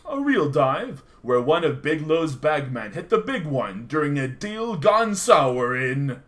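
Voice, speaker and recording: A man's voice: a little high, very animated, takes it slowly, fairly bright tone, heavily acted. A man playing a hardboiled private detective, narrating his noir case in a wry, slangy radio-play style. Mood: wry, world-weary. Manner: dramatic, slangy, wry storytelling. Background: music.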